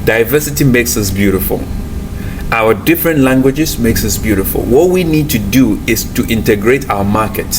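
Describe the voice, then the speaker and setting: A man's voice: medium pitch, lively intonation, normal speed, noisy background. A man from Ghana and Nigeria with a West African accent, speaking as an interview guest in an emphatic, opinionated conversational way, repeating phrases for emphasis.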